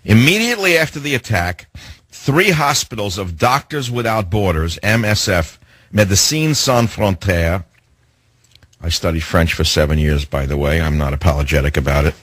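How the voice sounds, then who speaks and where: A man hosting a talk radio show, delivering a sarcastic, indignant monologue with theatrical swings in pitch. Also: animated, moderate pace, a bit bright, slightly rough, no echo.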